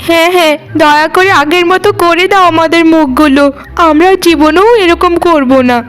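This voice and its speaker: A spiteful young village sister acted by a woman, pitched very high. She is a scheming cartoon troublemaker from a fairy tale. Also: fairly even intonation, normal speed, a bit bright. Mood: distraught, pleading.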